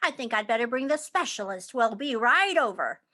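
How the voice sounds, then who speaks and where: A woman's voice: fairly high pitch, big pitch swings, normal speed, pushed and bright, exaggerated voice. A woman voicing a baffled, fussy male doctor in a children's storybook. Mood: pondering. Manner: exclaiming, rattling off questions, mumbling.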